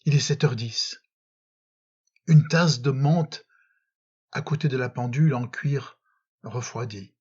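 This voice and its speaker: A man reciting love poetry in a dramatic, solemn and measured manner, with frequent pauses, on a slightly muffled recording. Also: medium pitch, breathy edge. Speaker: poetry reader.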